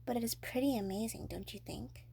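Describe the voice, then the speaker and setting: A woman's voice: on the high side, a little fast, gravelly, mellow, slightly rough. A woman voicing a proud, stubborn little boy of six in a storybook, matter-of-fact and a bit boastful.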